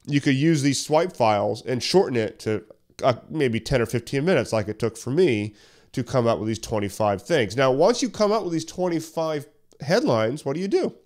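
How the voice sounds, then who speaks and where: A man hosting a solo podcast tutorial, talking conversationally and a little hoarse while getting over a cough, walking listeners through tips step by step. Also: mid-range voice, lively intonation, normal speed, a little mellow. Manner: conversational, explains step by step with questions. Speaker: podcast host. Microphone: studio podcast mic.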